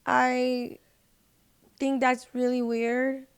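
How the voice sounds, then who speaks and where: A woman's voice: sits high, flat delivery, drawn-out words, smooth. A woman speaking as a podcast guest in casual, slangy conversation with lots of filler "like.". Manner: informal, slangy, frequent "like". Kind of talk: casual chat. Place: podcast recording.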